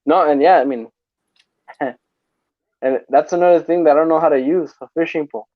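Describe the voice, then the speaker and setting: A man's voice: on the high side, no echo. A man hosting a livestream, chatting casually and chuckling often as he reads out viewer comments over a video call. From desert region. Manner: laid-back, chuckles often, drawn-out filler. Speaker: livestream host. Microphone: remote video call mic. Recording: dull, dry call audio.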